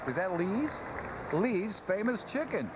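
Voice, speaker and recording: A man's voice: high voice, very animated, unhurried, very noisy. A man commentating a parade on the air, reading facts about each unit and slipping in jokes with his co-host. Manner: reads facts, then cracks jokes. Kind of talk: broadcast parade commentary with banter. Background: loud marching band music.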